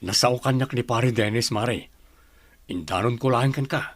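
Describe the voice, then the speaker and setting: A man's voice: medium pitch, normal speed, lightly acted. A man playing a casual, neighborly family friend in an audio drama. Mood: friendly. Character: family friend, a man gently passing on news. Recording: loud music under the voice.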